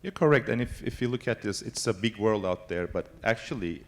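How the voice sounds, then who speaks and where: A man moderating a conference panel, asking questions with energetic, loosely worded phrasing and fillers. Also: fairly low pitch, brisk.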